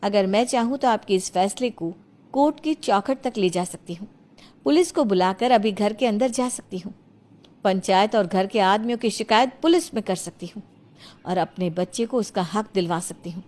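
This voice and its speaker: A woman's voice: mid-range voice, normal speed, a little mellow, lightly acted. A woman voicing a determined young village daughter-in-law in a cartoon story, speaking in a firm, earnest manner. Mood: defiant.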